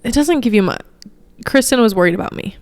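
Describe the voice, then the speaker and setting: Lively young woman in casual chat. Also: on the high side, quick pace. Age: young adult. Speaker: podcast co-host. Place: living room, indoors. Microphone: handheld microphone held close.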